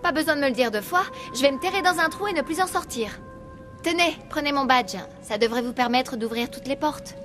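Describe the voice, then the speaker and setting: Anxious, high-voiced young research assistant. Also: female voice, brisk, a bit bright, soft background music. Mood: anxious, explaining. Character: anxious, self-blaming young female research assistant, trapped. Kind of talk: French video game dub.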